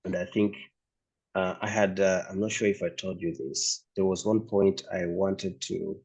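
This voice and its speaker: Deep, husky man asking halting questions. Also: steady tone, darkish tone. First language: Swahili. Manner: halting, searching for words, many restarts. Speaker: interviewer, host. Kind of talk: casual interview questions. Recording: slightly dull lo-fi sound.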